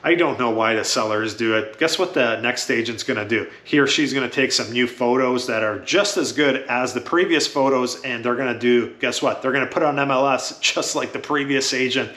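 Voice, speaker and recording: A man whose first language is Croatian, with a bright, forceful voice and a medium pitch. He talks to camera like a real estate agent, steady and matter-of-fact, with lots of "uh" pauses. The room around him is roomy and echoey. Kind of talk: casual talk to camera.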